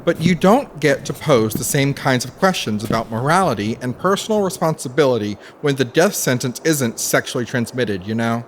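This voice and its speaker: A man's voice: medium pitch, lively intonation, moderate pace, hardly any pauses, a little hiss or hum, lightly acted. Man playing a campy, sardonic undead avenger. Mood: wry. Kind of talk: audio play acting.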